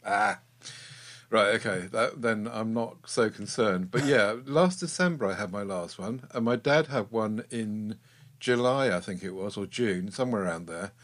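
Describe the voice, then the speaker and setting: A man's voice: on the low side, moderate pace, a bit bright. A middle-aged man co-hosting a podcast, chatty and jokey with frequent ums. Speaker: podcast co-host. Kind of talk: casual chat.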